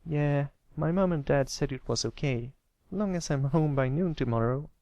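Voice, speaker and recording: Guy doing a little colt kid brother, shy but eager, high innocent boyish voice, pony story. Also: normal speed, mellow, no echo, muffled recording, close to an everyday voice.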